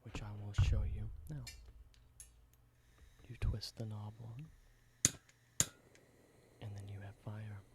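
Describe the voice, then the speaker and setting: A man whispers close to the mic in a low, steady voice that barely rises or falls. He explains ordinary objects slowly and simply, and a clear hiss runs under the recording. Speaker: ASMR creator. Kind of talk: whispered ASMR roleplay.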